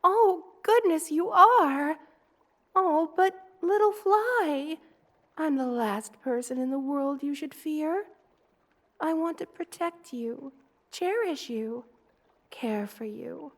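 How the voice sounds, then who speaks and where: A woman voicing a gentle, doting spider queen in an intimate ASMR roleplay, soothing and tender in manner. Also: high-pitched, expressive, heavily acted. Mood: startled, flustered. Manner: soothing, tender, coaxing, with slow careful delivery.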